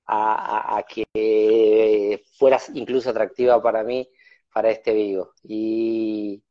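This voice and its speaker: A man hosting a live video-call interview, talking in a relaxed, reflective, rambling way. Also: fairly low pitch, slow. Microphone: phone on a video call. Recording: dull lo-fi video-call audio.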